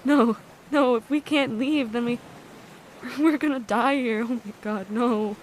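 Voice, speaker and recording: A woman playing a frightened young hostage in an audio drama, speaking in an anxious, halting, pleading way. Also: on the high side, a little hiss or hum, close to an everyday voice, rough recording. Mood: panicked.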